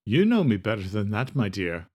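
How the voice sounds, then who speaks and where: A man voicing a formal, troubled geneticist doctor in an audiobook, with measured, clipped speech. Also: fairly low pitch, big pitch swings, darkish tone. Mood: familiar, weary.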